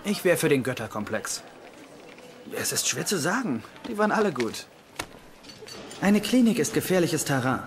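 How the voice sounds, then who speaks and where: Earnest, higher-voiced young doctor. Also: male voice, big pitch swings, moderate pace, long pauses, slight background noise. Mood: earnest, pleading. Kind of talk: German-dubbed TV comedy-drama dialogue and narration.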